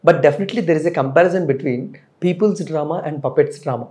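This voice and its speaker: A man's voice: fairly high pitch, takes it slowly, dark tone. A man interviewing a guest, asking questions politely in Telugu with English phrases. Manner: polite, addresses guest as ma'am.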